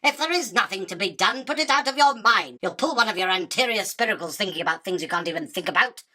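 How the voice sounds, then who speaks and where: Bright-voiced haughty spider queen , acted by a woman. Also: medium pitch, lively intonation, fast. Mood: irritated, superior. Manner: imperious, dismissive, self-justifying. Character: haughty, self-absorbed, hungry female spider queen. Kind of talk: theatrical radio drama acting.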